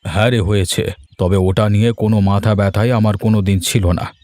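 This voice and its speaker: A man's voice: fairly low pitch, steady tone, a little fast, darkish tone, close to an everyday voice. A man voicing a blunt, authoritative village headman in a cartoon. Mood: stern, scolding. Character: stern, bossy male village headman who loves food. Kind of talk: Bengali cartoon voice acting.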